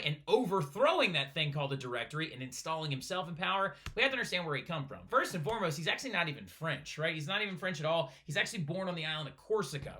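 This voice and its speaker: Brief: quick, bright male teacher voice, a little high, lecturing casually with constant "right?" check-ins. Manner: fast, animated, keeps asking "right?". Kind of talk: recorded lecture for a flipped class.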